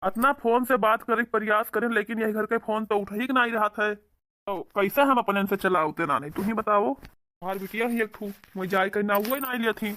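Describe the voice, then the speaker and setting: A young Awadhi village husband from a cartoon comedy , acted by a man. His voice is high for a man and closely, dryly recorded, with a long-suffering, grumbling delivery. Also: moderate pace, sharp tone, no room sound. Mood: impatient. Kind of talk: comic cartoon dialogue.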